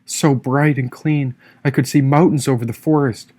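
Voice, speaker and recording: Expressive male audiobook narrator, mid-pitched. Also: moderate pace. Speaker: author reading own audiobook. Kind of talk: dramatic first-person audiobook narration.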